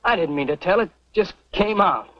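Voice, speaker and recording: An actor playing an earnest, protective and excitable young suitor with a folksy manner in an old western radio drama. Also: male voice, sits high, normal speed. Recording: muffled old radio recording, loud music.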